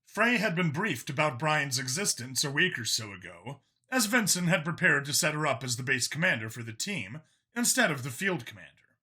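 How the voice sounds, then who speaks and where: Bright, forceful male story narrator. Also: on the high side, big pitch swings, normal speed, slightly rough. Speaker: audiobook narrator. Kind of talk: scripted narration of science fiction story.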